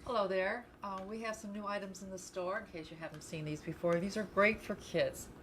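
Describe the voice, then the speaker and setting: A woman's voice: medium pitch, fairly even intonation, a little fast, fairly bright tone, a little hiss or hum. A woman giving an informal live product presentation as a store presenter on a show. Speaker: store presenter doing commercial segments.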